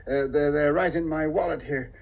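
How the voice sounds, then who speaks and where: A man's voice: fairly high pitch, fairly even intonation, moderate pace, vocal fry. An actor playing a timid, kindly middle-aged businessman, hesitant and stammering, in an old muffled radio comedy. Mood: flustered, fond. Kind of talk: 1950s radio comedy-drama acting.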